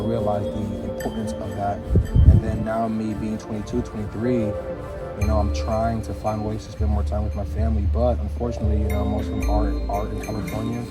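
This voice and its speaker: A man in his early twenties talking casually and reflectively to viewers in a rambling, laid-back, slangy way while fishing outdoors. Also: fairly low pitch. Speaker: fishing vlogger. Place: outdoors by a pond. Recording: music over the voice. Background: music.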